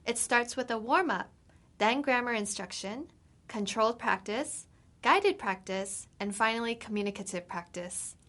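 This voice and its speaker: Woman instructor, slightly high voice. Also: moderate pace, fairly bright tone. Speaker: English instructor. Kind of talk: scripted lesson presentation.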